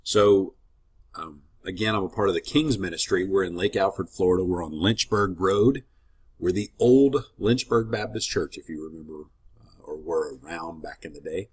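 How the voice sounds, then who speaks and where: A man's voice: low-pitched, moderate pace, husky. A man leading a casual Bible teaching, slightly congested and sniffling, in a friendly, conversational way with rambling asides. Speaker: ministry teacher.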